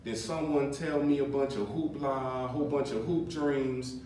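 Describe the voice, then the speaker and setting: A man's voice: medium pitch, fairly even intonation, moderate pace, dark tone. A man hosting a podcast in a casual political chat. He talks loosely, chuckles often, and uses many fillers and restarts. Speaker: podcast host. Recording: very echoey room, mic far off, faint noise.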